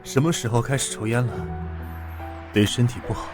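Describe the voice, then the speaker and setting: A man playing a quiet, composed younger man with a forceful undertone in an animation dub. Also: medium pitch, brisk, natural voice. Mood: gentle, steady. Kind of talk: restrained animation dub acting. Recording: background music under the voice.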